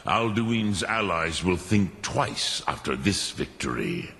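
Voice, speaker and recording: A man voicing an ancient, wise dragon mentor in a fantasy video game, gravelly, ponderous and musing, with pauses and dragon-tongue words. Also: low-pitched, big pitch swings, fairly bright tone, full character voice.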